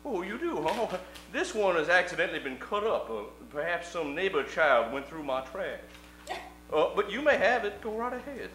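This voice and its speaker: Big blustering would-be crooner played by a man, self-important, high bright voice, rambling, radio comedy on an echoey stage. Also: big pitch swings, moderate pace, a little hiss or hum. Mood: fussy. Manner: rambling, fussy. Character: enormously fat, blustering, self-important amateur singer. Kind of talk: comic radio-play acting.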